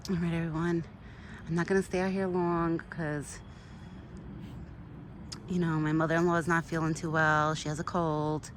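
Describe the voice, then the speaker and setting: A middle-aged woman chatting casually outdoors to her viewers. She keeps breaking into high sing-song baby talk for an animal. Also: flat delivery, moderate pace, long pauses, a little hiss or hum. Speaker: vlogger talking to a wild deer. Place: outdoors in a yard.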